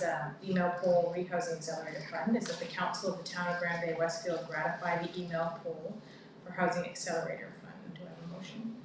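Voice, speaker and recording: A woman with a Canadian accent chairing a town council meeting, reading motions and calling votes in a brisk, procedural way. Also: lowish voice. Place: large council chamber. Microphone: far room mic. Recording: echoey room from far off, background noise.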